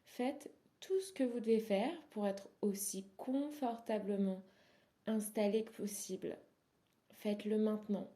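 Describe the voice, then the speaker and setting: A woman leads a guided meditation at a very slow pace, with a medium-pitched voice. She speaks softly and soothingly, leaving pauses between short phrases. Some phrase endings fade into a breathy softness. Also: steady tone. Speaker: yoga nidra instructor.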